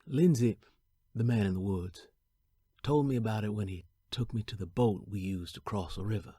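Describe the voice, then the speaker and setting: Guy acting as young escaped slave telling his story, really breathy n rough, low voice, weary but determined, historical drama narration. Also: moderate pace, warm tone, no echo, studio quality. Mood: weary, intense. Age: young adult. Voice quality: husky, gravelly, breathy. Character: young enslaved man, weary, determined, fleeing to freedom. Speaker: voice actor.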